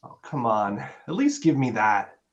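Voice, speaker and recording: A man playing an affectionate, gently pressing boyfriend in a naturalistic script reading, conversational and a little hesitant. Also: mid-range voice, sing-song, a little slow, dull audio. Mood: pleading, teasing.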